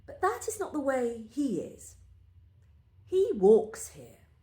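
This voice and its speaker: A woman gives an expressive, deliberate reading of a scripted short story. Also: high voice. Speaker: reader of short stories. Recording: echoey, roomy indoor sound.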